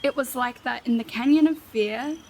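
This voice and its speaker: A woman's voice: a little high, moderate pace, darkish tone, slight background noise, lightly acted. A woman playing a sarcastic, grumbling, put-upon rogue in a fantasy comedy audio drama. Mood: exasperated. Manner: sardonic, exasperated complaining.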